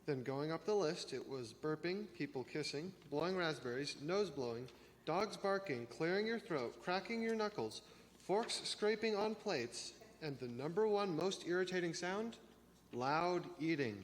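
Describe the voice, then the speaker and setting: A man's voice: a little high, lively intonation, unhurried. A young man playing a bookish teenage trivia lover in a church reader's theater, in an echoey hall with some noise. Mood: eager, informative. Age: teenager or young adult. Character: bookish teenage boy who loves trivia.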